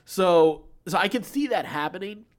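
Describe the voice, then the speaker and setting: Male podcast co-host, lively swings in pitch, slightly high voice, loose and rambling casual chat. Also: moderate pace. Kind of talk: casual pop-culture news chat.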